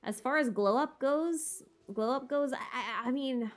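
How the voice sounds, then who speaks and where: A woman's voice: high-pitched, measured pace. A woman hosting a casual livestream Q&A, talking informally and hesitantly as she thinks aloud. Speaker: livestream host answering viewer questions. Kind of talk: casual livestream Q&A chat about game lore.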